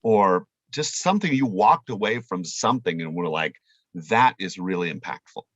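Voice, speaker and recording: Animated, husky-voiced man chatting. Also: sits low, moderate pace, dry recording, dull audio. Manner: animated, informal, playful, emphatic. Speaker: chef and webcast presenter. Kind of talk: casual conversational interview.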